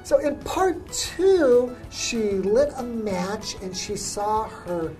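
A woman's voice: a little high, sing-song, extremely slow, darkish tone, faint music behind, rough recording. A young woman hosting a radio English lesson, patiently explaining words with everyday examples. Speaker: English teacher, host.

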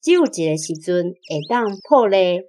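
A woman's voice: on the low side, sing-song, normal speed, a little mellow, a little hiss or hum. A woman with a Taiwanese accent playing a fond mother telling childhood memories in a children's radio drama. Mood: animated. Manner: chuckling storyteller. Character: fond nostalgic mother recalling her childhood.

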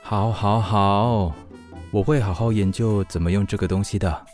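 An actor playing a mild, hesitant middle-aged widowed father, gently exasperated, in an audio drama. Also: fairly low pitch, fairly even intonation, moderate pace, faint music behind, close to an everyday voice.

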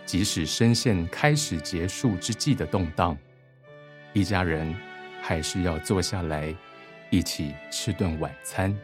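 Taiwanese mandarin guy narrating a radio drama, really low voice, takes his time. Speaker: narrator reading synopsis, credits and stage directions.

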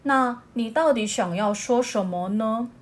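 Woman doing a hesitant, low-key owl. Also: measured pace, natural voice. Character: cautious easygoing owl.

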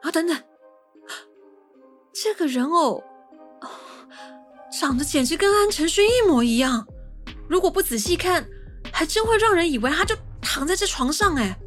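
A woman playing an excitable, impatient young sleuth in an audio drama, with a brash, colloquial, expressive delivery. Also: very high voice, moderate pace, pauses often, soft background music. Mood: alarmed.

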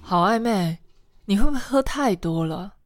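A woman's voice: mid-range voice, lively intonation, moderate pace, noisy background, lightly acted. A woman playing a caring, mildly scolding bar manager in a radio drama, with a familiar, nagging manner. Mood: concerned. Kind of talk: Mandarin radio drama acting.

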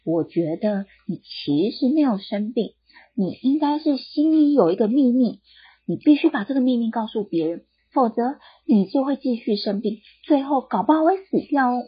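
A woman's voice: a little high, expressive, moderate pace, slightly rough, dull audio. A woman doing a coaxing village doctor. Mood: serious. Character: calm, knowing village doctor.

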